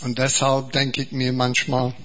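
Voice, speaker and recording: An Englishman preaching in German, a second language learned alongside his native English, speaking slowly and earnestly with many repetitions and restarts. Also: medium pitch, completely flat delivery, fairly bright tone, muffled recording. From England. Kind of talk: sermon.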